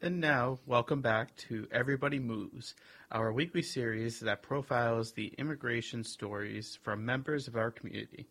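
A male radio host reads a scripted introduction in a measured announcer style. His voice is gravelly, with a husky edge. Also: medium pitch, fairly bright tone.

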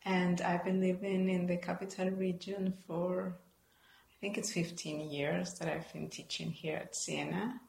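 Slow, low-voiced Peruvian woman. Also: flat delivery, gravelly, darkish tone, echoey room, a little hiss or hum. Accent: Peruvian Spanish. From Peru. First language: Spanish. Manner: thoughtful, with frequent fillers and restarts. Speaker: guest, Spanish professor. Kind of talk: conversational interview.

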